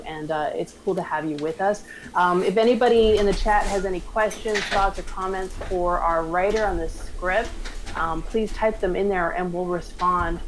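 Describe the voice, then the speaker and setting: A woman's voice: fairly low pitch, slight background noise, rough recording. A woman chatting casually as the host of a live stream. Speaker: host of a live script table-read stream. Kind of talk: casual group chat and feedback.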